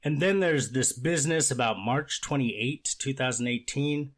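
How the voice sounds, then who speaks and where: A man playing a deliberate, fact-minded judge in a courtroom readers theater, speaking in a formal, measured and slightly halting way. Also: mid-range voice, lightly acted. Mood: measured, factual. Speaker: judge reading and questioning counsel.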